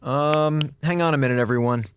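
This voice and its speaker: An American actor playing an aggrieved space station communications officer in a radio drama, with theatrical, sarcastic griping. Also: male voice, on the high side, a little fast, a little hiss or hum, natural voice. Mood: wry. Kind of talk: radio drama acting, comic log monologue. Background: music.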